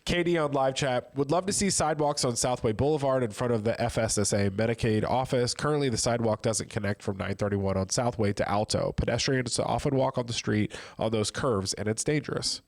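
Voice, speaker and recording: A man's voice: medium pitch, brisk, vocal fry, few pauses. Man with a cold reading viewer questions. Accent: Midwestern American. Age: 30s. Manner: reads questions aloud, casual asides. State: has a cold. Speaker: co-host reading live-chat questions. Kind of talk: casual talk show chat.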